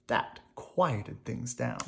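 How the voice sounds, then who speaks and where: A woman reading a children's storybook aloud in an animated, unhurried way for young listeners. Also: rumbling low, creaky, background noise. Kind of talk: expressive read-aloud storytelling.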